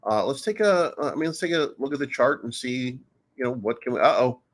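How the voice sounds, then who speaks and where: A man's voice: medium pitch, animated, brisk. A man hosting a casual livestream chat, joking and rambling loosely. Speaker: host.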